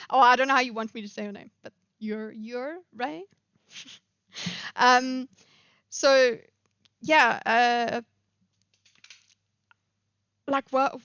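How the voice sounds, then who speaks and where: A woman's voice: a little high, steady tone, drawn-out words, pauses often, fairly bright tone, clean tone, no room sound, muffled recording. A woman with a South African accent, commenting on a live stream in a rambling way, with many fillers and restarts.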